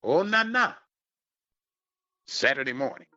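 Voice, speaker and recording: A man's voice: medium pitch, very animated, unhurried, bright, forceful tone. A man hosting a radio show, giving an emphatic promotional talk straight to listeners in an upbeat style. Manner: emphatic, addresses listeners directly. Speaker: radio host. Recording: dull, slightly lo-fi audio.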